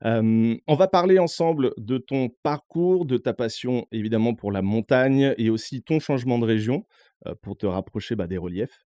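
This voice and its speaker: A man from northern France hosting a podcast, asking questions in a relaxed way with lots of fillers. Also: medium pitch, normal speed, no room sound, clean studio sound. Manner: relaxed, filler-heavy interviewer. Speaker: podcast host. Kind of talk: casual interview.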